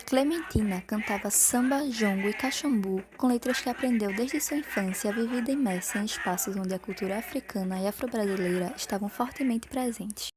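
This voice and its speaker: A woman giving measured, informative scripted narration. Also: medium pitch.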